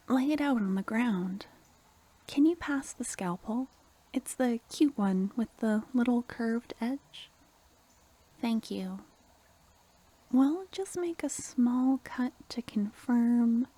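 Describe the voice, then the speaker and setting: A woman playing a sweet, caring court necromancer in a softly spoken, half-whispered ASMR fantasy roleplay, talking intimately and affectionately to the listener. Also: fairly high pitch, full character voice. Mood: gentle, focused. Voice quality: soft, breathy, half-whispered. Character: sweet, caring young female court necromancer, doting and anxious.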